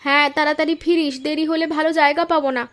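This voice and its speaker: Cartoon big sister, female actor: high-pitched, quick delivery, caring, sensible, reassuring. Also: level tone, a bit bright. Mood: practical, reassuring. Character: patient, caring elder sister, poor flower seller. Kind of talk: Bengali cartoon moral-story dialogue.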